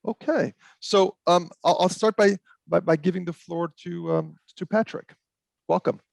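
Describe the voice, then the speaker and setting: A man moderates an online panel and explains how the evening will run. His voice is very gravelly and the sound is dry and close. He speaks hesitantly, with pauses and restarts, on a video call. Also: sits high, darkish tone, no echo. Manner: hesitant, many ums and restarts. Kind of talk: introducing a panel event.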